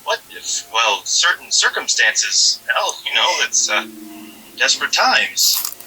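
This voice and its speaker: A man acts out an otherworldly thing wearing the manner of a dead husband in an audio horror drama. The voice is strikingly bright and forceful and swings in pitch. He coaxes in folksy, halting loops, over faint background noise. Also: very animated, far from the mic, exaggerated voice, poor audio. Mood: coaxing. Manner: halting, rambling, folksy, repeats himself.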